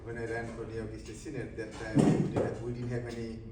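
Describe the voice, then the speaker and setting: A man giving an animated, proud speech to a community gathering. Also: medium pitch, fast talker, heavy background noise. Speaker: community speaker. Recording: echoey hall from far off, loud chatter.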